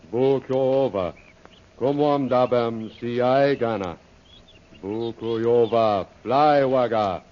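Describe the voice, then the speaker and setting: Slow, deep, solemn island tribesman. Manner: slow ritual chanting in halting pidgin English. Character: solemn island tribesman chanting to his ancestral spirit. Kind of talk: 1930s radio adventure acting. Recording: muffled old-time radio recording.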